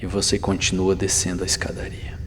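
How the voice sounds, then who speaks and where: A man narrating a guided ASMR journey slowly and softly, with pauses and near-whispered moments. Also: low-pitched, completely flat delivery. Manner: hushed, unhurried, with soft pauses, sometimes near whisper. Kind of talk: slow immersive ASMR guided narration, second person. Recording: long reverb over the voice. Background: music.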